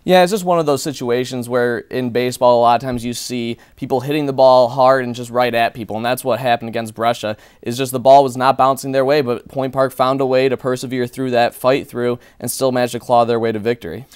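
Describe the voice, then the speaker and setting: Young guy doing sports analysis, smooth clear voice, dry close sound, talks with confidence. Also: medium pitch, steady tone, brisk, hardly any pauses, no echo. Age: young adult. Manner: confident, fluent breakdown of games and players. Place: small TV studio.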